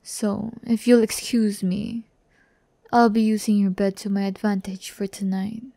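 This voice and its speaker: ASMR roleplay: vain, condescending young female demon, played by a woman, gravelly fry, close dry mic. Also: mid-range voice, flat delivery, dark tone, no room sound. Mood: tired, condescending. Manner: slow, condescending, teasing, often dropping to a whisper. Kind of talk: breathy ASMR audio roleplay. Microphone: close microphone, dry sound.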